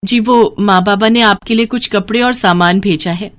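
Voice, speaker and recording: A woman's voice: medium pitch, normal speed, no room sound, lightly acted. Woman playing a meek, earnest young bride. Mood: dutiful. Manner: pleading, polite, expressive. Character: meek, earnest young daughter-in-law, poor and dutiful. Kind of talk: Hindi cartoon story acting.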